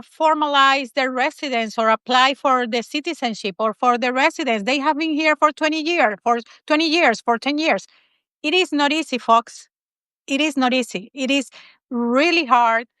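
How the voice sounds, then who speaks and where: A woman's voice: high voice, bright, forceful tone, clear, resonant tone, no echo. A woman from Venezuela, hosting a live interview, eager and full of restarts and fillers. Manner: enthusiastic, with many fillers and restarts. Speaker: host.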